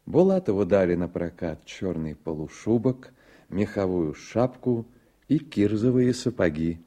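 A reflective storyteller narrating a radio play. His voice is low, very dark and mellow, with a husky grain. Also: very animated, unhurried.

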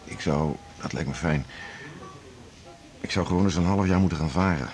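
A man's voice: low-pitched, moderate pace, a little mellow, natural voice. An actor playing a workaholic middle-aged male internist in a 1980s radio drama, talking in a wry, self-mocking, confiding way. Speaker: hospital internist and chief.

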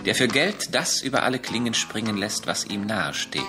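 An actor playing an ironic, declaiming storyteller in the narrating chorus of a radio play. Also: male voice, fairly low pitch, expressive, moderate pace, music in the background, close to an everyday voice.

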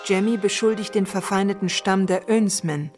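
A woman reading scripted documentary-style narration for a radio play in a measured, formal manner. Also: mid-range voice, darkish tone. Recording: voice over prominent music bed. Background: music.

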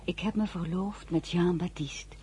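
An actress playing a tender young newlywed wife who tells her own story in a radio drama, with a husky, breathy voice. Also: lowish voice, fairly even intonation, unhurried, a little mellow, a little hiss or hum, lightly acted. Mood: calm narrating. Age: young adult. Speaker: narrator and lead character. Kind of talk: radio drama with first-person narration.

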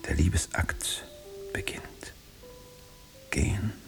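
An actor playing an eerie, theatrical man in a radio drama, halting as he describes a futuristic laboratory and dropping into whispers. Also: over music, close to an everyday voice. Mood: intense, hushed. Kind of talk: German radio drama acting.

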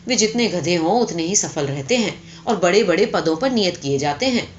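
A woman voicing an imperious, calculating young rich heiress in a story, firm and sharp as she dictates terms. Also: lowish voice, lively intonation, muffled recording, a little hiss or hum, close to an everyday voice. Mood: dismissive, cynical.

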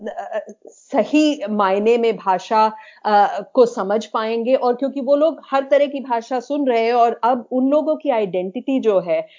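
An Indian woman co-hosting a podcast in a conversational, thoughtful way, often pausing to find her words. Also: on the high side, dark tone. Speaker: podcast co-host. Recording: dull, lo-fi audio.

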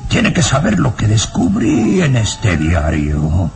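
A deep, frightened professor. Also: male voice, huge pitch swings, gravelly, darkish tone, exaggerated voice. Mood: fearful. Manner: trembling, halting, gasping self-talk. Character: frightened professor talking himself into calm, trapped in castle. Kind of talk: old radio serial melodrama acting. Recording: radio drama with music underneath.

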